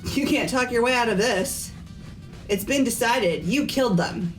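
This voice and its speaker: Woman playing accusing teenage girl, blunt, fairly high, slow deliberate pace, visual novel fan dub, faint music. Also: animated, poor audio. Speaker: voice actor in fan game playthrough. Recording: music under the voice.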